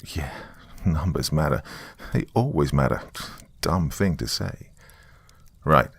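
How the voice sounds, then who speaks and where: Haunted male writer, performed by a male narrator: low, dry close sound, wry first-person horror confession. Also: expressive, takes it slowly, creaky, slightly rough, dry recording, heavily acted. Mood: muttering, resigned. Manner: musing, wry, conversational asides. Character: weary, frightened male writer recording a confession on tape.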